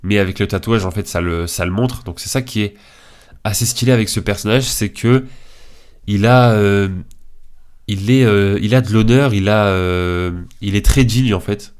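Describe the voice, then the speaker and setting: A man's voice: lowish voice, moderate pace, darkish tone, clear, resonant tone. A young man with a head cold giving casual, slangy tier list commentary in a hesitant, informal way. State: congested from a cold. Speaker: video creator.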